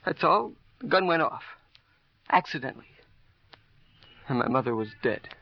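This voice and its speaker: A man's voice: on the high side, sing-song, normal speed, gravelly, husky, exaggerated voice. A man playing an anguished, defiant teenage son, pleading in short bursts in a 1950s radio drama. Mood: frantic, pleading. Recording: dull old radio recording.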